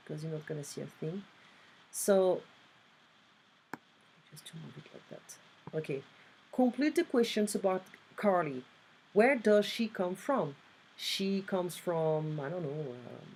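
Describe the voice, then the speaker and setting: A woman's voice: lowish voice, a little mellow, a little hiss or hum, poor audio. A woman tutoring English one-on-one online, patiently explaining grammar and reading exercises aloud.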